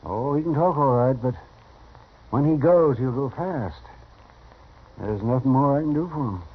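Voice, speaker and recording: An actor playing a grave, weary frontier town doctor, blunt and resigned, in a radio western. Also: male voice, mid-range voice, lively intonation, normal speed, husky. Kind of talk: old-time radio western acting. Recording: dull, noisy vintage radio recording.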